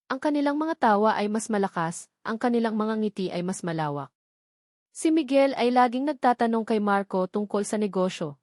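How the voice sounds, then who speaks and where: A woman's voice: medium pitch, darkish tone, dry recording, clean studio sound. A woman reading a scripted, dramatic narration of a romance story.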